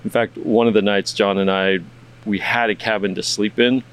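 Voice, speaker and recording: A man's voice: fairly low pitch, level tone, moderate pace, clean tone, low-quality recording. A man hosting a podcast, chatting in a rambling, laid-back way and swearing now and then. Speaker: podcast host. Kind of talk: casual interview chat.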